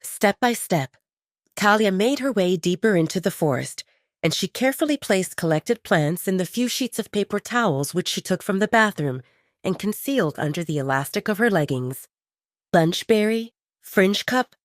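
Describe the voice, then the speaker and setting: A woman's voice: mid-range voice, dry recording, studio quality. A woman reading scripted narration as the storyteller of an audio drama.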